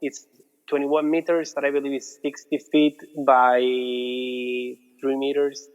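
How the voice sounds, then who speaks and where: Relaxed Argentine man, dull reverberant audio. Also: mid-range voice, takes it slowly, clear, resonant tone. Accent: Argentine Spanish. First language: Spanish. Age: 30s. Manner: relaxed, repeats words, non-native phrasing. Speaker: guest, muralist. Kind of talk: casual interview.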